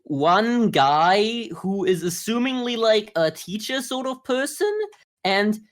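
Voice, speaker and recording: A man's voice: high-pitched, animated, slow pace. Wry, critical man chatting casually. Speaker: podcast co-host. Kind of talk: casual anime discussion.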